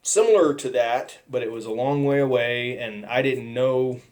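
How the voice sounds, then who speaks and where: A man's voice: medium pitch, darkish tone. Rambling middle-aged man telling his life story. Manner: rambling, halting, many restarts and "you know". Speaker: testimony speaker. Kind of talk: personal testimony monologue.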